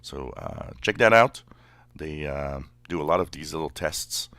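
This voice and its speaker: A man with an extremely deep voice hosts his hobby channel. He explains things casually and without a script, in a halting flow broken by frequent pauses. The sound is dry, with no room echo. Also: animated, fairly bright tone. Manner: halting, hesitant delivery with many pauses. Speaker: radio hobbyist channel host. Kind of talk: casual unscripted explainer commentary.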